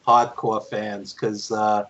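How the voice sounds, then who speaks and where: A man's voice: lowish voice, little pitch movement, takes it slowly, fairly bright tone, lo-fi sound. An older man co-hosting a casual interview show, earnest and emphatic. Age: 50s or older. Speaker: show co-host.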